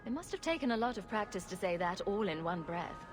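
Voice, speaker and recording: A woman's voice: mid-range voice, big pitch swings, brisk, over music, natural voice. A woman playing a wry, self-assured adventurer champion in a video game cutscene, with a dry, questioning manner.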